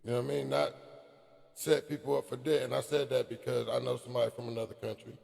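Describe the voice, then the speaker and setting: Raspy, gravelly man in a reverberant room. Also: medium pitch, flat delivery, fast talker, darkish tone. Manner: laid-back, laughs often, trails off. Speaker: podcast co-host. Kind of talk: casual chat. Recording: hall-like reverb.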